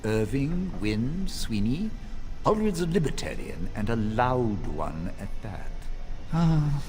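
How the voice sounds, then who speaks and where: An actor playing a genial, worldly senior mage in a fantasy game, explaining things in a wry, conversational way. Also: male voice, medium pitch. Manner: conversational, explanatory, wryly resigned.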